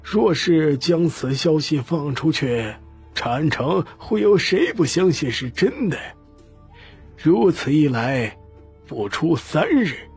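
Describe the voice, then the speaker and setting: Man voicing a slow, husky old schemer. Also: on the high side, a little mellow, voice pushed up, exaggerated voice. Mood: calculating. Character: shrewd old male patriarch, calm and scheming.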